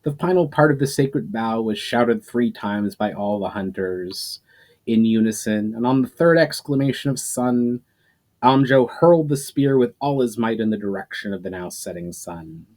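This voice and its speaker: Male storyteller, lowish register, dramatic audiobook-style delivery with deliberate stresses and trailing sentence endings. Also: expressive, darkish tone. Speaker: writer reading own story to channel viewers. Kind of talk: dramatic scripted narration of a fantasy story.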